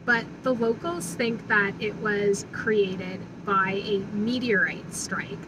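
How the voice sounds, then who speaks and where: A woman's voice: mid-range voice, slow pace, background noise. A woman in her thirties, a travel vlogger, giving chatty, informative commentary into a phone held at arm's length in a car. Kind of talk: casual travel vlog chat. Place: inside a car.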